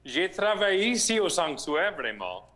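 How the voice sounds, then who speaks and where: A priest with an American accent and English as his first language, answering interview questions slowly and haltingly, with restarts. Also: high-pitched, sing-song, sharp tone. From United States (Missouri). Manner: slow, halting, with restarts and searched-for words. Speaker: rector of a shrine, priest.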